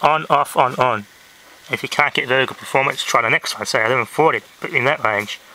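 A man with a mid-pitched voice talks through a hobby electronics demonstration in a casual, halting way, as if working it out aloud. The sound is a homemade handheld camera recording, with clear noise and clicks behind him. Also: sharp tone. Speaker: hobbyist presenter. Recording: noisy amateur handheld camera audio with clicks.

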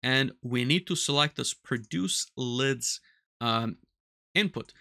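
A man in his thirties giving a step-by-step software tutorial on automation, talking methodically over a headset mic in a small workshop. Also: mid-range voice, slow, vocal fry, no echo, clean studio sound. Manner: explanatory, methodical, walks through steps aloud. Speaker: automation instructor. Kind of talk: screen-recorded software tutorial. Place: small workshop room with control panels.

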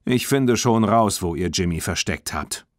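A man voicing a gruff, stern old grandfather with a curt, commanding manner in a children's audiobook. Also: sits low, expressive, measured pace, dry recording, studio quality. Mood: gruff, impatient.

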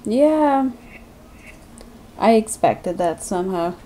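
Woman, let's-play commentator, warm low-key tone, light hiss under the voice, chatty and self-mocking. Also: lively intonation, slow, low-quality recording. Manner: chatty, self-deprecating, thinking aloud. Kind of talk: casual gameplay commentary.

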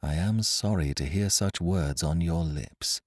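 A man's voice: deep voice, animated, unhurried, darkish tone, no echo, studio quality. Man voicing an earnest young woman. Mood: indignant. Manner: earnest, heated.

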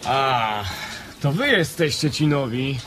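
An actor playing a cocky, aggressive young boss's son in a radio play, brusque and confrontational. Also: male voice, mid-range voice, lively intonation, takes it slowly, a bit bright, a little hiss or hum. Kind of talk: radio drama acting.

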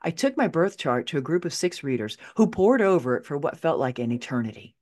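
A middle-aged woman narrating her own memoir as an audiobook, expressive and conversational, with wry asides. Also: low voice, a little fast. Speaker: author reading own book.

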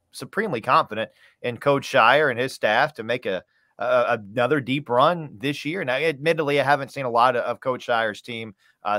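Male sports guest, thirties, conversational and emphatic, medium pitch, dry video-call sound. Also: pushed and bright, dry recording. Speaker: sports play-by-play announcer, podcast guest. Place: small home room, video call.

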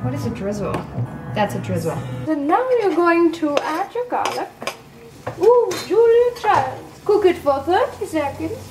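Young woman clowning through a cooking show in her kitchen, kinda high voice, music going loud behind her. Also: very animated, measured pace. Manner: playful, silly, self-mocking. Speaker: vlogger cooking on camera. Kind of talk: goofy mock cooking show. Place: small home kitchen. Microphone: handheld camera at arm's length. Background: sixties French pop playing.

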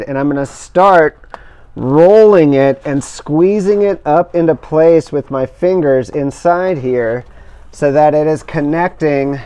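A middle-aged man calmly explaining each step of a hands-on installation as he works. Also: a little high, clear, resonant tone. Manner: careful, measured explaining while working with his hands. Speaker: instructor demonstrating kayak outfitting. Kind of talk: step-by-step how-to demonstration.

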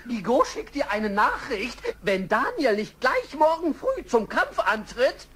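A woman's voice: a little high, sing-song, a little slow, fairly bright tone. A woman voicing an anxious animal messenger in a children's audio drama, emphatic and dramatic. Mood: urgent.

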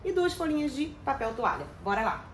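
A woman's voice: sits high, sing-song, a little fast, a little hiss or hum. A middle-aged woman, chatting casually to her viewers while organizing her kitchen drawers. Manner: chatty, addresses viewers as "gente", uses many diminutives. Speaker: home organizing vlogger. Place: home kitchen.

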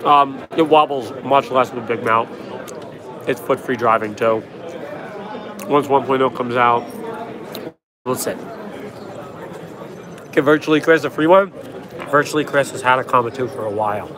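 A young man hosting a casual livestream from a trade show floor, answering chat in a quick, offhand, joking way, his voice worn from a cold. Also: medium pitch. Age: young adult. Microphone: phone held at arm's length. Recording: noisy crowded convention hall. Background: crowd chatter.

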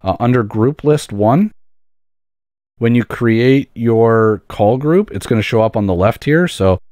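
Male tutorial narrator, relaxed dark timbre, dry close-miked sound, explanatory delivery that underlines key points by repetition. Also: medium pitch, measured pace, no room sound. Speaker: tutorial presenter. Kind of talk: step-by-step software tutorial narration.

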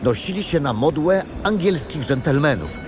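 An actor playing a cheerful grandfather who guides children through history in an audio drama, explaining things in an animated storytelling way. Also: fairly high pitch, normal speed, background noise, lightly acted. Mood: explanatory.

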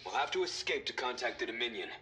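Gruff male covert operative, forceful, clipped, evasive, game dialogue, faint noise. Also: medium pitch, lively intonation, fast. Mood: wary. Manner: clipped, colloquial. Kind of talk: video game voice acting.

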